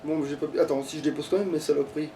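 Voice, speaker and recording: A man's voice: on the high side, fairly even intonation, very fast, dark tone. A man playing a video game and commenting casually, with exasperated, foul-mouthed ranting. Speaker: let's player, playing the game. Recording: distant mic, slightly noisy.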